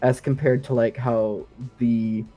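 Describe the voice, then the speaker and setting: Male podcast guest, sound designer, mid-pitched, keen and nerdy explainer, casual delivery, music underneath. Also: flat delivery, takes it slowly, dark tone, highs cut off. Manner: enthusiastic, nerdy, chuckles as he explains.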